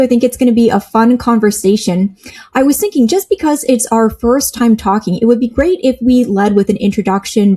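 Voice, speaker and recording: A woman's voice: fairly high pitch, mellow. A woman hosting an interview, friendly and polished in her phrasing. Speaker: interview host.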